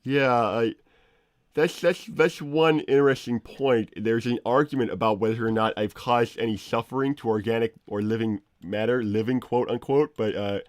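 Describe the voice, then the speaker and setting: A man playing a nerdy, moralizing cartoon inventor creature in improvised banter, halting and pedantic, often hedging as he argues. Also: mid-range voice, dry recording, studio quality, natural voice. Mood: evasive, defensive. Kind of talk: improvised in-character livestream banter.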